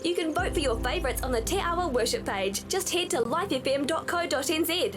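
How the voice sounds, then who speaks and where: A woman's voice: high-pitched, animated, a little fast, music in the background. A woman reading a scripted radio station promo.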